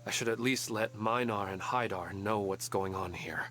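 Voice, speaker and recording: An actor playing a gentle, resigned family man who speaks politely and quietly wistful. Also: lowish voice, moderate pace. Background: game music.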